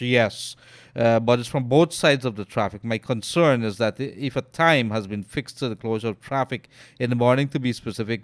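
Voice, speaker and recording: Animated Guyanese radio host. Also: male voice, mid-range voice, dry recording. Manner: loud and animated on air, trails off and murmurs when aside. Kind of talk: call-in radio talk, reading listener messages. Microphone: studio mic.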